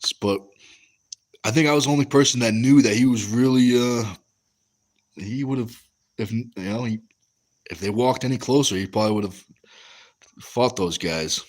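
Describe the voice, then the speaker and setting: A man's voice: medium pitch, moderate pace, pauses often, a little mellow. A young American man hosting a casual livestream, reading chat and rambling through stories with lots of fillers and restarts. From West Coast of the US.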